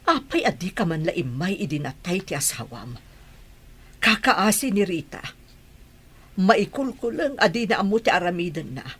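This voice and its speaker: Blunt Ilocano village woman, low and husky, reproachful, radio drama. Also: very animated, normal speed.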